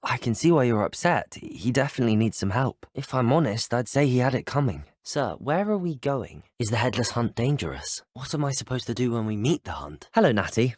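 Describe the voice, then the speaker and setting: Polite young British student wizard, lively intonation. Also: male voice, mid-range voice, a little fast, few pauses, dry recording, studio quality. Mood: inquisitive, courteous. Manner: courteous, formal, articulate. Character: polite, earnest teenage male student wizard. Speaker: video game player character. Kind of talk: scripted game dialogue.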